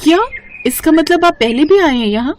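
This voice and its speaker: A woman's voice: high-pitched, normal speed, darkish tone, slight background noise, pitched above the performer's own voice, natural voice. A woman voicing a polite, earnest young food-seller in a cartoon moral story. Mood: startled, questioning.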